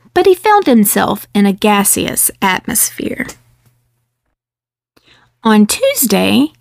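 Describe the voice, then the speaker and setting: A woman reading a picture book aloud in a measured, expressive storytelling delivery. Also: mid-range voice, vocal fry, dry recording, clean studio sound. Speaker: children's book reader. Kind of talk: scripted storybook read-aloud.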